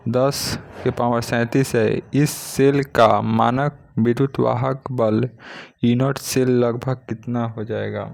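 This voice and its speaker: A man with a medium-pitched voice teaches for a competitive exam. He reads each practice question aloud in a methodical way. Then he states the correct option and moves on. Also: vocal fry, a little mellow. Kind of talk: reading practice questions and giving answers.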